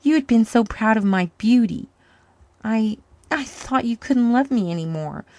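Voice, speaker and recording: A heartbroken young woman, pleading and tearful, as read by a woman narrator. Her voice rises noticeably higher than the narration around it. The recording is close and dry. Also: slow, vocal fry, warm tone, dry recording. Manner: tearful, pleading, raised pitch.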